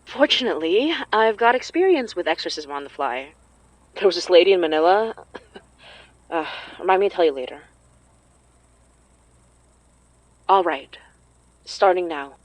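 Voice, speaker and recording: A woman acting as an anxious but determined young folk magic practitioner in an audio drama, sometimes halting. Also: lowish voice, lively intonation, no echo, close to an everyday voice, poor audio. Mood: dryly confident.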